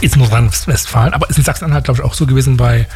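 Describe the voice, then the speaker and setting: A man with a Saxon German accent and a slight lisp hosts a radio show, speaking at a middle pitch. He chats in a rambling, self-mocking way, full of fillers and restarts. Faint music plays behind him. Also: rapid-fire. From Leipzig, Germany. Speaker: radio host. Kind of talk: casual radio chat.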